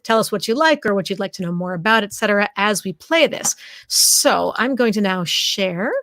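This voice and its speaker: A woman's voice: medium pitch, lively intonation, moderate pace, a bit bright, no room sound, studio quality. A woman hosting a live stream, talking casually and informally to viewers. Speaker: live show host. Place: indoors, live streaming video call.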